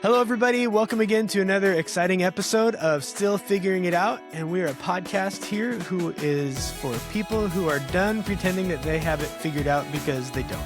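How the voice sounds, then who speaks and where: A man's voice: high-pitched, a little fast, hardly any pauses, music in the background. A man hosting a faith podcast, with an upbeat, joking, rambling way of talking. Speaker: podcast host. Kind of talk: casual podcast chat.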